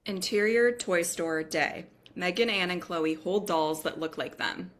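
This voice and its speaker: A woman reads stage directions from a screenplay. Her voice sits somewhat low for a woman. It is scripted narration for a table read. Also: measured pace.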